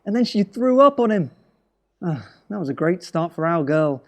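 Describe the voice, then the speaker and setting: A worried tired husband in an audio drama, voice on the high side, wry and chatty. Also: male voice, big pitch swings, normal speed, a bit bright, heavily acted. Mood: fond, amused. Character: anxious, weary husband waiting in hospital, wry. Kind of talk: naturalistic audio drama acting.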